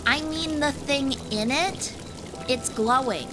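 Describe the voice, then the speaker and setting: Actress as inquisitive fantasy kid, female, highish voice, very unhurried, blunt and stubborn, music behind. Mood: huffy. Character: curious, blunt, stubborn young web-fingered girl. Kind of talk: dramatised fantasy audiobook acting.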